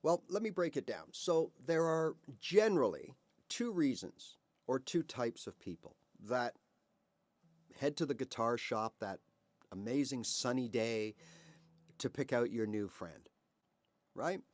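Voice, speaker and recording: A man's voice: medium pitch, lively intonation, unhurried, dry recording. A man of fifty or older giving a casual guitar lesson to camera, chatty and encouraging, asking rhetorical questions and checking in with "all right" and "right?". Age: 50s or older. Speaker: guitar teacher.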